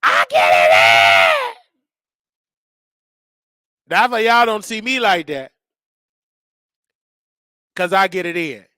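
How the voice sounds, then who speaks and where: Man, 30s, livestream host, high bright voice, loud emphatic repeats, dry close mic. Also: wildly swooping pitch, slow, long pauses, no echo. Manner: loud, repetitive, emphatic rants with sudden shouts. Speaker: show host. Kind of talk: casual livestream talk.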